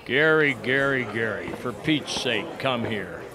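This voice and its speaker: An actor playing a strained, insistent scientist in a radio play, speaking emphatically and repeating himself. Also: male voice, mid-range voice, lively intonation, measured pace, a loud crowd, natural voice. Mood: tense, accusing. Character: male scientist reasoning under mounting suspicion, strained and insistent.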